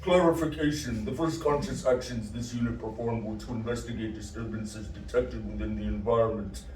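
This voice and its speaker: A man's voice: mid-range voice, fairly even intonation, brisk, darkish tone, natural voice. Man acting as echoey, cold, programmed alien creature. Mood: cold, detached. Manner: stilted, announces each reply type before speaking. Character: programmed alien construct, cold, formal, literal-minded monster. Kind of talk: science fiction audio drama acting. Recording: echoey room, distant mic, faint noise.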